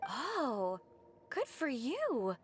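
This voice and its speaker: A woman's voice: high-pitched, huge pitch swings, extremely slow, slight background noise, heavily acted. A woman playing an aloof, catty young woman in a video game, languid and easily irritated. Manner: languid, snippy.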